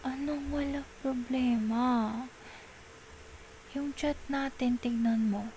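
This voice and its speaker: A woman with a Filipino accent playing a clingy, coaxing girlfriend in an intimate ASMR roleplay, pleading in soft baby talk. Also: high-pitched, fairly even intonation, takes it slowly, slight background noise. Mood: puzzled, pleading. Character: clingy, coaxing girlfriend soothing a jealous partner.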